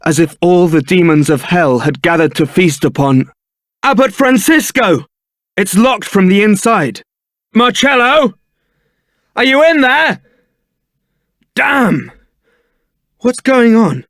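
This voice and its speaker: An actor playing a young templar knight in a video game, suave, wry and brooding, with a theatrical, articulate delivery. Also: male voice, sits high, expressive, takes it slowly, pauses often, a bit bright, no echo, studio quality, full character voice. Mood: alarmed, urgent. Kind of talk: video game voice acting, dramatic scripted dialogue.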